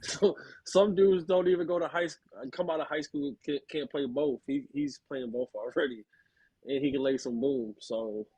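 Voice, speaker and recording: A man's voice: fairly high pitch, normal speed, dark tone, husky, low-quality recording. An American man chatting casually as a sports panelist, slangy and enthusiastic like a devoted fan. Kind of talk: casual chat about football prospects.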